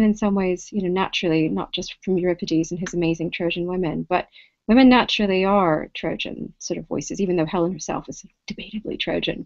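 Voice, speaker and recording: A woman's voice: medium pitch, no echo. A woman in her thirties, a poet in her office, answering thoughtfully and a little hesitantly over a video call. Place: office with bookshelves indoors. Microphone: laptop webcam on video call. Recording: dry, dull video call audio.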